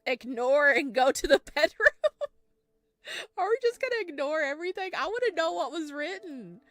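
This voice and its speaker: A woman giving playful, excitable reaction commentary as she plays a visual novel. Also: high-pitched, normal speed, dry recording. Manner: excitable, joking. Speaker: let's-player reading a visual novel aloud. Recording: music behind the voice. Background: background music.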